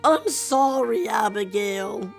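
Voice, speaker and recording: A woman voicing a stern but courteous male monster boss in a children's storybook, measured and authoritative. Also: fairly high pitch, lively intonation, vocal fry, faint music behind, full character voice. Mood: firm, regretful. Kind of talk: children's storybook character reading.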